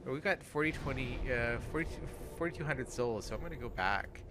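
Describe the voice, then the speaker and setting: A man's voice: medium pitch, animated, fairly quick, background noise. A man hosting a let's play, giving casual gaming commentary.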